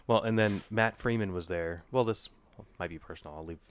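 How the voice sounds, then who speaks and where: A young man with a slight cold and nasal voice, co-hosting a casual podcast chat, often halting and restarting his sentences. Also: sits low. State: getting over a cold, a little nasal. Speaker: podcast co-host. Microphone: podcast microphone.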